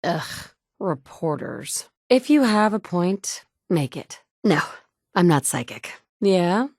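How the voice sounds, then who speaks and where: A woman's voice: on the low side, big pitch swings, slow pace, darkish tone, no room sound, studio quality, exaggerated voice. An actress in her thirties voicing a blunt, sardonic woman survivor searching for her son in a video game. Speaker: player character. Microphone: close studio mic.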